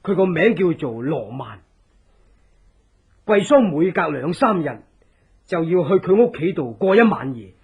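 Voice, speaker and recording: Very husky scheming resistance ally. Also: male voice, on the high side, very animated, phone-quality sound, full character voice. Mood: earnest, confiding. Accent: Hong Kong Cantonese. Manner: deliberate, theatrical, persuasive. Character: loyal scheming male resistance ally, earnest, deliberate advisor. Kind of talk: Cantonese horror radio drama acting.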